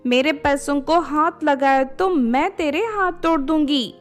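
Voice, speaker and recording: Woman as young stepdaughter, high voice, hot-tempered, dramatic, animated storybook cartoon. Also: unhurried, faint music behind. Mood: angry, scornful. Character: spiteful, selfish young stepdaughter, hot-tempered, later panicked.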